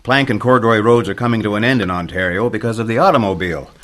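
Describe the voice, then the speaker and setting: A man's voice: fairly low pitch, fast talker, a bit bright, lightly acted. An actor playing a courteous, self-assured businessman and geologist in a radio mystery, with a formal, explanatory way of speaking. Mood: polite, defensive. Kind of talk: old-time radio mystery drama acting.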